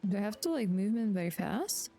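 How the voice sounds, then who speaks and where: Chill sounding lady streaming a game, dark soft tone, casual chatter, bit of game music. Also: mid-range voice, big pitch swings, brisk, gravelly. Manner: offhand, casual swearing. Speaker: live streamer playing a game. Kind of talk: casual gaming commentary.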